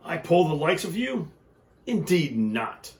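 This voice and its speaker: A man's voice: on the high side, sing-song, a little mellow, echoey room, pitched above the performer's own voice. A man voicing a proud, snooty male passenger engine in a storybook reading, haughty and drawn out. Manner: haughty, drawn-out scornful delivery.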